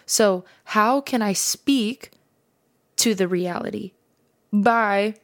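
A young woman hosting a faith podcast, her voice raspy and hoarse from late nights, talking in a rambling, candid, casual way. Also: medium pitch. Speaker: podcast host.

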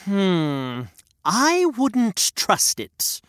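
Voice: medium pitch, huge pitch swings, very slow, smooth, no echo, clean studio sound, exaggerated voice. A storyteller doing a punning sidekick voice. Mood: joking. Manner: playful, chiming in with asides. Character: jokey sidekick named Reg, quick with puns.

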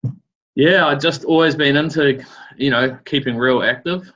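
A man's voice: mid-range voice, moderate pace, a bit bright, muffled recording. A man from New Zealand with a New Zealand accent, chatting casually as an interview guest in a laid-back, rambling way full of fillers and slang. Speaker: guest, surf business founder.

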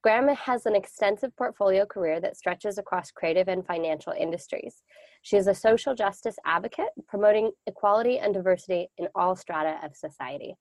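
A woman's voice: medium pitch, brisk, dry recording. A woman hosting a podcast, upbeat and polished as she welcomes and interviews a guest. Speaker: podcast host.

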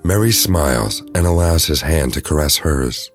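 A man's voice: a bass voice, lively intonation, moderate pace, dry recording, faint music behind. A man reading scripted narration for an audio drama.

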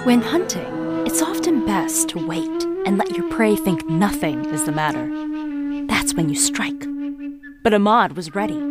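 A sly female storyteller narrating an audio drama. Her pitch moves widely as she relishes each teasing, conspiratorial phrase, over prominent music. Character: sly, knowing female storyteller of court intrigue.

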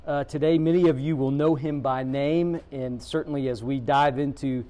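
A man's voice: mid-range voice, steady tone, moderate pace, dark tone. A man hosting a school podcast, chatting casually and calling his guest "man". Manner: friendly, says "man" often. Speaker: podcast host. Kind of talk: casual interview chat.

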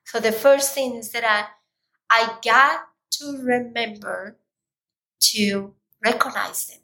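A woman with a slightly raspy voice giving an emphatic, deliberate motivational talk, as a coach speaking directly to viewers. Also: on the high side, fairly even intonation, pushed and bright, low-quality recording.

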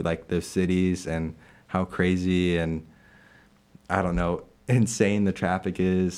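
Man, 20s, very low pitch, from Illinois; halting casual interviewee who laughs easily. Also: darkish tone. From Illinois, USA. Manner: halting, many fillers and restarts, laughs often. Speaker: interviewed staff member.

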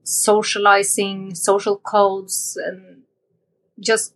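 Young Norwegian-accented woman guest, unhurried, hesitant, searching for words, mid pitch. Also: level tone, fairly bright tone. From Norway. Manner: hesitant, with many ums and uhs, searching for words. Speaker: podcast guest. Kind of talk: casual interview conversation.

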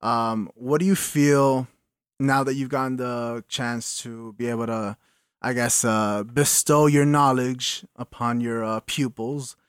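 A man's voice: medium pitch, steady tone, unhurried, clean tone, no room sound, clean studio sound. A man interviewing a guest, asking long, hesitant questions full of "um" and "uh". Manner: hesitant, filler-heavy questions.

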